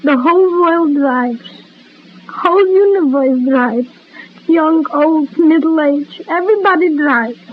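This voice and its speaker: A woman's voice: high-pitched, takes it slowly. An actress playing a stubborn, loving housewife and mother in an old-time radio soap opera, with folksy grammar and repeated phrases. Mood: insistent, soothing. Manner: folksy immigrant-style grammar, repeats herself. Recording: lo-fi old radio recording with hiss.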